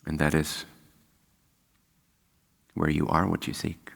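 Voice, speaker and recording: A man leading a guided meditation as a spiritual teacher, speaking calmly and unhurriedly with long pauses. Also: rumbling low, gravelly, slightly rough. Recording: long hall-like reverb.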